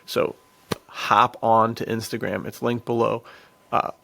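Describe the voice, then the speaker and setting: A man co-hosting a podcast, chatting casually and pitching things in laid-back slang. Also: little pitch movement, measured pace, creaky, sharp tone. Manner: laid-back slangy, says "dude" often. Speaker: podcast co-host. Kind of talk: casual promotional podcast chat.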